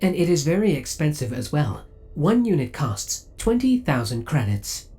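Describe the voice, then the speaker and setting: A man's voice: a little high, very animated, normal speed, warm tone. A man playing a possessive, coolly obsessive male spaceship AI in an ASMR audio roleplay, speaking with formal, precise, robotic politeness. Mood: formal, disapproving.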